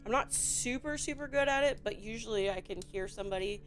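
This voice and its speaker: A Midwestern woman with a Chicago accent, chatting in a rambling, relaxed way while she builds a toy set on stream. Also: on the high side, soft background music. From Midwestern United States. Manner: chatty, rambling, slow and drawn out, with frequent fillers.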